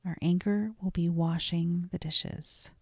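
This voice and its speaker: A woman leading a guided meditation in a soft, gentle, deliberate voice that often trails toward a whisper, with frequent pauses. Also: fairly low pitch, fairly even intonation, vocal fry, no room sound, clean studio sound. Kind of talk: guided meditation, scripted and soothing.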